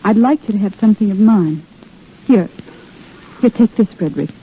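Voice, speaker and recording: Sweet worried war nurse, husky, tinny crackly old radio. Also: female voice, medium pitch, expressive, moderate pace. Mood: tender, worried. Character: tender, anxious young wartime nurse in love. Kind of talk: 1930s radio drama acting.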